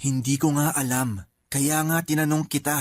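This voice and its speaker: A man's voice: a little high, fairly even intonation, moderate pace, natural voice. An actor playing a wary, puzzled young man talking with his friend at a wake in a radio drama, conversational and matter-of-fact.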